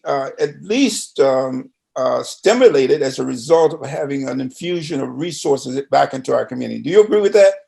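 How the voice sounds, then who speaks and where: Older male, conversational community mentor, gravelly, fairly high voice, rambles and checks in with listeners. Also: a little mellow. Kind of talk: casual conversation.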